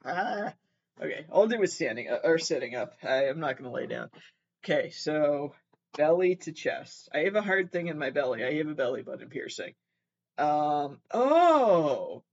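A man giving casual comedic commentary as he reads messages aloud, halting and sweary, with exasperated asides. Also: on the high side, very animated, dull audio.

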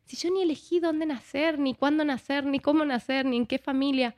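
Woman, slightly high voice, expressive, reflective interview talk. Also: a little fast. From Argentina. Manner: expressive, acts out imagined dialogue as she talks. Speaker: interview guest, actress.

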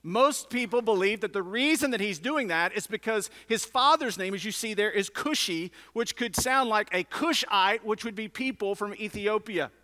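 High, bright-voiced male preacher in reverberant hall. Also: expressive, normal speed, few pauses. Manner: conversational teaching with emphatic repetition and rhetorical questions. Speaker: pastor. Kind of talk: teaching sermon to a congregation. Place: large indoor room, preaching to a congregation. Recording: reverberant large room sound.

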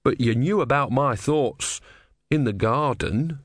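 A man's voice: medium pitch, unhurried, dry recording, clean studio sound, pitched above the performer's own voice, natural voice. A man voicing a timid, devout young novice who speaks in a hesitant, earnest, meek way in an audiobook. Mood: earnest, hurt.

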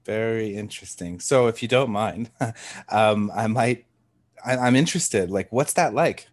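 A man's voice: on the low side, measured pace. A man hosting an interview podcast, asking questions in a friendly, curious way. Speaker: podcast host. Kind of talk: casual interview.

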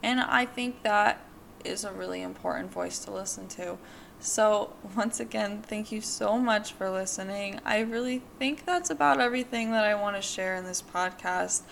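Candid gravelly woman in her twenties. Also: mid-range voice, normal speed, a bit bright, slight background noise. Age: 20s. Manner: candid, rambling, with many fillers. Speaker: podcast host. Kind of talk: personal monologue.

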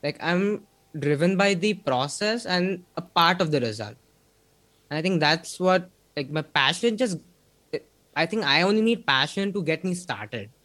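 Young man, Indian English accent, guest on a video-call interview; easygoing, filler-heavy, slightly high voice. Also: expressive, a little slow, a bit bright, dry recording. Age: young adult. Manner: rambling, many fillers like "like" and "um". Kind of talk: casual interview chat.

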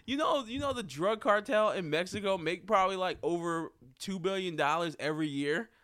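Bright-voiced man chatting casually. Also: high-pitched, brisk. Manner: swears freely, laughs often, trails off and restarts. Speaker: podcast co-host. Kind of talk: casual chat, reading news headlines.

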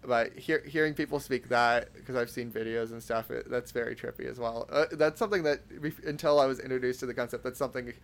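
A young man hosting a casual podcast interview, talking in a halting way with lots of fillers and restarts. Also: mid-range voice, steady tone, creaky. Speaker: podcast host.

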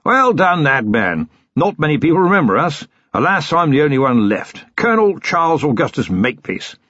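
Man playing an elderly colonel, hearty, rambling, loud, dry dull recording. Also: on the high side, very animated, moderate pace, dry recording, voice pushed up. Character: bluff elderly male retired cavalry colonel.

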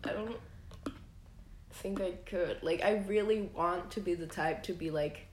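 A young woman co-hosting a podcast in casual chat, a bit hoarse from a cold, playful and teasing. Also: fairly low pitch, unhurried, darkish tone, slight background noise. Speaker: podcast co-host. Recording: echoey room.

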